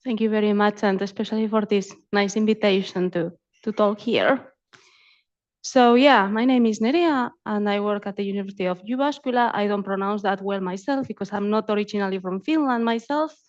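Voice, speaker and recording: A woman's voice: mid-range voice, fairly quick, darkish tone, dull audio. A woman presenting research at a conference, speaking as an academic and often repeating words as she goes. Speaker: invited academic speaker, community ecologist. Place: symposium talk.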